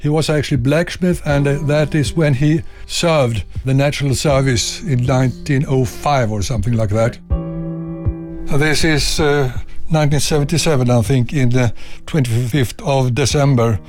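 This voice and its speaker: An older Swedish-accented man speaks in a calm, plain way and pauses now and then to find the right English word. He is recorded indoors in a home library at a medium pitch. Faint music plays behind him. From Sweden. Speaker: interviewee, retired army officer. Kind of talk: casual chat at home.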